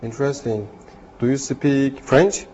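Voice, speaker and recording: A man from Turkey answers in short, simple practice phrases during a classroom role play. His voice is a little husky. The sound is muffled and lo-fi. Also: medium pitch, steady tone, unhurried, mellow. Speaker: language student.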